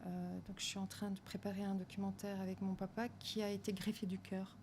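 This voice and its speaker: A woman's voice: mid-range voice, darkish tone, a little hiss or hum. A woman film director talking as a guest in a café, reflective, with frequent fillers. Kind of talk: casual interview chat.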